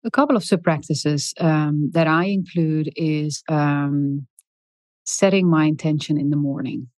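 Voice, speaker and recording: A middle-aged woman speaking in a calm, soft, deliberate way as a podcast guest, with many small pauses and ums. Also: deep voice, no echo. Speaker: guest expert on leadership. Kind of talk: podcast interview.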